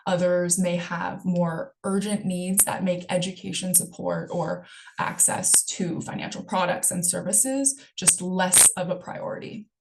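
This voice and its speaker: A woman presenting survey results in a webinar, explaining the data in a steady way. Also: on the low side, a little slow, vocal fry, background noise.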